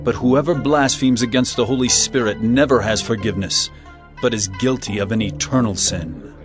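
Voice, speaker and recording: An actor playing a firm, authoritative male teacher, speaking solemn, measured lines in a dramatized scripture reading. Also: mid-range voice, lightly acted. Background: music.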